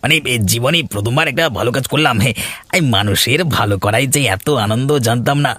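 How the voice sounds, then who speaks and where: A man plays a roguish villager in a cartoon folk tale, mid-pitched. He talks slangily, with plenty of little interjections, over the sound of rain. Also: brisk, pushed and bright, breathy edge. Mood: reflective. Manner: colloquial, slangy, hesitant interjections. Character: roguish village man Nagen, reformed wrongdoer. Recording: rain behind the voice.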